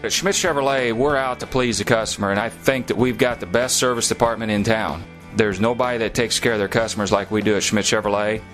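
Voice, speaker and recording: A man's voice: lowish voice, quick pace. A man speaking as a car dealer's spokesman in a plain-spoken radio ad. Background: soft guitar music.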